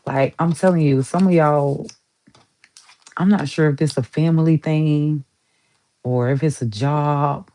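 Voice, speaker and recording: A woman's voice: deep voice, steady tone, a little slow, long pauses, mellow, poor audio. A woman giving a casual tarot reading to her viewers, chatty and emphatic, swearing casually and repeating herself for emphasis. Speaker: tarot reader.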